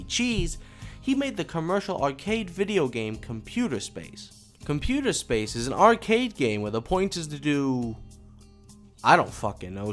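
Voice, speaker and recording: A man's voice: on the high side, fairly bright tone. A man narrating a comedic how-to as an online video host, sarcastic and mocking, swearing freely and often sounding exasperated. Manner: foul-mouthed, mocking, exasperated rants. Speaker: YouTube host.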